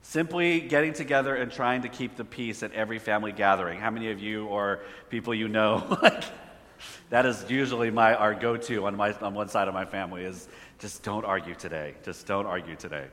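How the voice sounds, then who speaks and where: Bright-voiced preacher in an echoey hall. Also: male voice, on the low side, steady tone, brisk. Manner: conversational, asks the audience questions. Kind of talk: sermon. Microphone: phone hotspot for slides.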